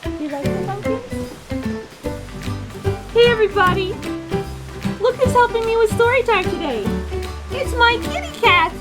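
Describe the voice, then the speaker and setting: Lady doing kids storytime, high voice, super playful and sing-song, music in the background. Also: moderate pace, long pauses. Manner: playful, sing-song, expressive, addressing children directly. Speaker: children's storytime host. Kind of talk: animated picture-book reading for young children.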